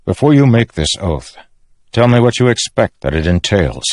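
A man voicing a stern, weary older uncle of a king in a fantasy audiobook, firm and measured. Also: fairly low pitch, very animated, darkish tone, no echo, voice pushed up. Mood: regretful. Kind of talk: fantasy audiobook character acting.

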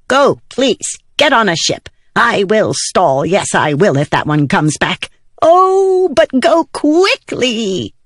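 A timid, fawning little male plant spirit put on by a female audiobook narrator. The voice is creaky and unhurried, with big excitable swings in pitch, in a dry recording. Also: no echo, exaggerated voice. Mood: breathlessly joyful. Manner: fretful, fawning.

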